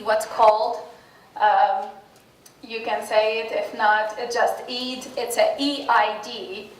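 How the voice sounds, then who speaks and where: A woman whose first languages are Arabic and French, talking slowly and haltingly to a class, full of pauses, restarts and fillers. Her voice sits a little high and comes from across an echoey room, with a faint hiss under it. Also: far from the mic. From Tunisia. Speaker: guest speaker. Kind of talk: informal class presentation.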